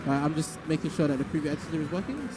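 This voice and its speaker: A man's voice: on the high side, sing-song, heavy background noise. A man hosting a live stream and interviewing players in a loose, casual way. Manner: rapid and loose, with many fillers and restarts.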